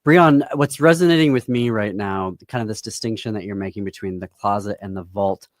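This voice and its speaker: Show host: man from the United States, slightly deep voice, reflective interview style, dry studio-close sound. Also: brisk, no echo. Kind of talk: conversational interview hosting.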